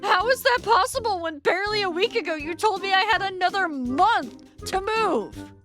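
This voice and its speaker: A woman's voice: high-pitched, a little slow, pushed and bright, pitched above the performer's own voice. A woman playing a dramatic, exasperated young woman tenant who speaks in an indignant, emphatic way. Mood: alarmed. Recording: faint music behind the voice.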